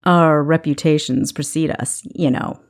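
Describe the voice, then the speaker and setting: A woman's voice: sits low, steady tone, a little slow. A woman voicing a cocky, charming male thief in an audiobook, with a sly, teasing manner. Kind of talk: audiobook character acting.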